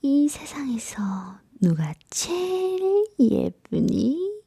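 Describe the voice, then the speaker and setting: A woman voicing a vain, wicked storybook queen with slow, drawn-out, breathy questions. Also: high voice, very animated, mellow, voice pushed up, exaggerated voice. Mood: vain, coaxing. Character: vain wicked queen, a witch, questioning her magic mirror.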